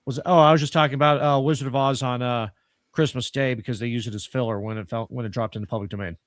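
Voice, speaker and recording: A man's voice: mid-range voice, steady tone, fast, no room sound. A man hosting a cast discussion in a casual, conversational way. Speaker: host of a radio players troupe discussion.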